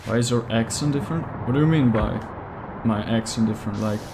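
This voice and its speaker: A man with a Czech accent whose native language is Czech, streaming a game live and chatting with viewers, often pausing to search for English words. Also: mid-range voice, noisy background. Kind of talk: casual live gameplay stream, answering chat.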